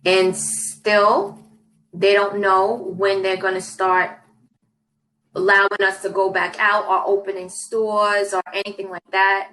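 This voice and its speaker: A woman's voice: medium pitch, fairly bright tone, slight background noise, rough recording. A young woman from New York City, an English tutor chatting casually on a video call and often repeating her phrases. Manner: repeats phrases, friendly and measured.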